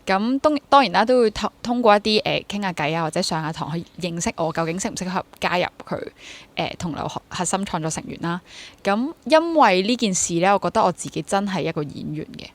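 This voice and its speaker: A woman's voice: fairly low pitch, expressive, quick pace. Young Hong Kong woman chatting in an interview. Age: 20s. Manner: mixes English words into Cantonese. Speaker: interview guest, freelance stage actor. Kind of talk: conversational interview, sharing personal experience.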